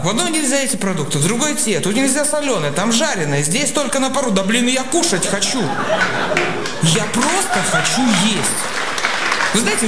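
A woman in her forties from Yakutia doing a conversational, self-deprecating stand-up monologue to an audience. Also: a little high. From Yakutia, Russia. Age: 40s. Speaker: stand-up comedian. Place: stage before a live audience. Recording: echoey hall, loud audience noise. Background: loud live audience.

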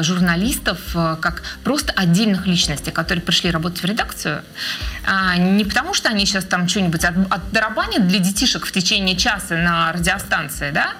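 A woman being interviewed as a researcher of children's radio, speaking in a measured, thoughtful way with frequent hesitation fillers. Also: mid-range voice, bright, forceful tone. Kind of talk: interview answers.

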